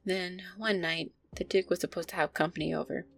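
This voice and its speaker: A woman's voice: on the low side, fairly even intonation, a little fast, creaky, faint music behind. A woman playing an affectionate, easily flustered, centuries-old vampire girlfriend, talking intimately and hesitantly to her listener in an audio roleplay. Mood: earnest, reminiscing.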